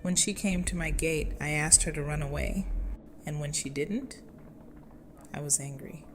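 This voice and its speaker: A woman's voice: low voice, unhurried, noisy background. A woman playing an earnest, resolute community leader seeking reconciliation in a fan audio drama. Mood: calm, candid. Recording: music under the voice.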